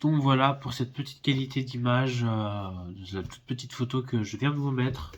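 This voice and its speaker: A man's voice: medium pitch, fairly even intonation, moderate pace. Hesitant man talking through a cold. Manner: hesitant, lots of filler sounds, friendly. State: stuffy with a head cold. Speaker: film collector YouTuber. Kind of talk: casual unboxing video.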